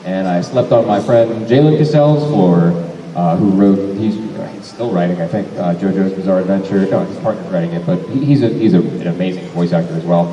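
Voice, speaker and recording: A young man and voice actor chats at a fan convention Q&A, rambling and correcting himself as he goes. His voice is mellow and a little low. It comes from far off in a big hall with a long, echoing tail. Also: a little fast, highs cut off. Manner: rambling, self-correcting, laughs often. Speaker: voice actor panel guest. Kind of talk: convention Q&A panel talk. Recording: echoey hall, mic from far off, faint noise.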